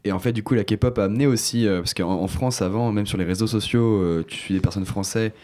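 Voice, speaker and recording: A young man leads a relaxed table conversation, speaking fast with a very low voice. He explains his points at length, tossing in fillers as he goes. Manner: quick, explanatory talk with fillers. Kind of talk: casual chat.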